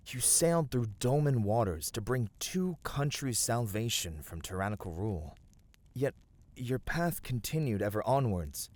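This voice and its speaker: A man voicing a gentle, noble fantasy adventurer in an ASMR roleplay, speaking soothingly and earnestly in formal, old-fashioned phrasing. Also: medium pitch, close to an everyday voice. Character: gentle, noble, reassuring male fantasy adventurer comforting a weary friend. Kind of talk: ASMR fantasy roleplay, scripted intimate voice acting.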